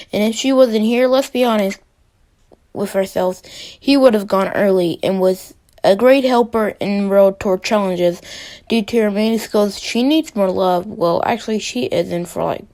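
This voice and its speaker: A woman's voice: mid-range voice, a little mellow. A woman hosting a fan ranking video, giving casual, emphatic, opinionated commentary in an informal way.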